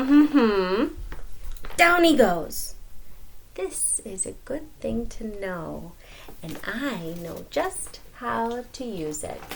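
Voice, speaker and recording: A woman voicing a tricky, lazy spider from a folktale, with a sly, inviting manner, in an animated storybook reading. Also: on the high side, a little hiss or hum. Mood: gleeful scheming. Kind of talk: animated storybook read-aloud.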